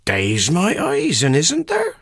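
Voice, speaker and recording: Lilting, naive West Country rustic. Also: male voice, sits high, huge pitch swings, takes it slowly, creaky, fairly bright tone, breathy edge, no room sound, studio quality, pitched above the performer's own voice, exaggerated voice. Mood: wondering, puffed up. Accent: English West Country. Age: middle-aged. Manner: rustic dialect, wondering and wheedling. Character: middle-aged rustic tippling haggler, naive and self-important.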